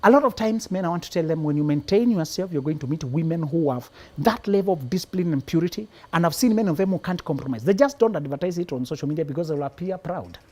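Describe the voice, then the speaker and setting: A man's voice: fairly high pitch, expressive, fast talker, hardly any pauses, warm tone. A man from Kenya, a psychologist guest on a live talk show, giving preachy relationship advice with teasing punchlines and frequent laughter.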